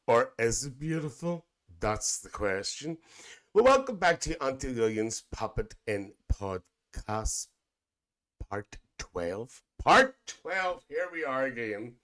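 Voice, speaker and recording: A man's voice: medium pitch, very animated, takes it slowly, a bit bright. Man playing a chatty Northern Irish auntie host. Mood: playful, welcoming. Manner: repeats phrases, calls guest dear. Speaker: podcast host. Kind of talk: chatty comedic interview.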